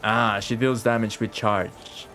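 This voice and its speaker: A man giving casual live commentary as a game streamer, reacting out loud and swearing freely. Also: on the low side, level tone, takes it slowly. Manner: profane, reactive, talks to game and viewers. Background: game music.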